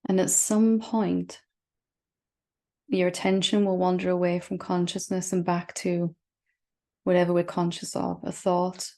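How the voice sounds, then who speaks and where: A woman leading a guided meditation as a spiritual teacher, speaking gently and reassuringly with long, unhurried pauses. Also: fairly low pitch, little pitch movement, vocal fry.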